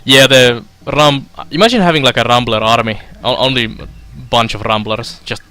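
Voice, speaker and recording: A young man from Finland giving casual let's play commentary, halting with fillers as he reads game text aloud. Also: medium pitch, bright, forceful tone. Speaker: streamer.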